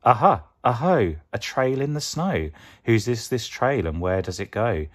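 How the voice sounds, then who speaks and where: A man's voice: mid-range voice, animated, a little slow, pitched above the performer's own voice. A man voicing a brave, curious young female monster child in a storybook, exclaiming and asking eagerly. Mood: excited discovery. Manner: exclamatory, questioning.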